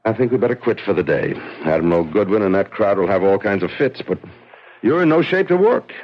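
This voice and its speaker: Low-voiced, weary radio drama scientist. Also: male voice, expressive, brisk. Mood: concerned, puzzled. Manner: hesitant, sighing. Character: weary, easygoing male government weapons scientist. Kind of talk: 1970s radio drama acting. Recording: dull 1970s radio recording, music behind.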